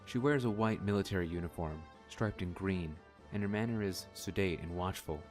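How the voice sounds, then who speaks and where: A man reading scripted narration for an audio drama in a measured, descriptive manner. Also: deep voice, soft background music.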